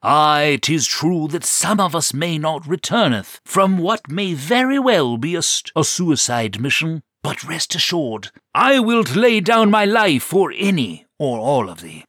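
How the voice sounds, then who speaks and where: A man's voice: fairly high pitch, very animated, fairly bright tone, no echo, clean studio sound, pitched above the performer's own voice, heavily acted. A man voicing a bold, pompous knight who declaims in mock olde English. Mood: solemn, rousing. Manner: grandiose, archaic, slow and declaiming.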